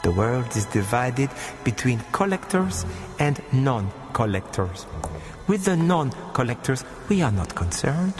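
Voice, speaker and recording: Sinister gentleman killer, radio horror. Formal, leisurely, mockingly courteous, music underneath. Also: medium pitch, big pitch swings, unhurried, in a large hall. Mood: smug, menacing. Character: suave, sinister male murderer, icily polite and leisurely. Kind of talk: radio horror drama monologue.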